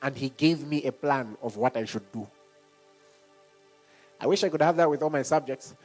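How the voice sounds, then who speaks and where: A middle-aged man preaching a sermon. He talks in an animated way and laughs often between points. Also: mid-range voice, normal speed, soft background music. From Zambia.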